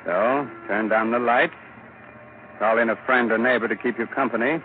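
A man's voice: medium pitch, fairly even intonation. Ominous male announcer, tinny vintage radio. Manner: sardonic, ominous, deliberate. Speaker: announcer and narrator. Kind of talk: 1940s radio horror narration. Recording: tinny, noisy 1940s radio recording.

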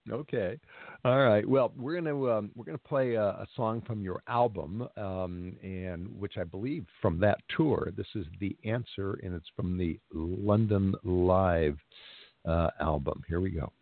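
A man hosting a radio music show, interviewing a guest in a relaxed, conversational way. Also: low-pitched, measured pace, no room sound. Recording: tinny phone-like line.

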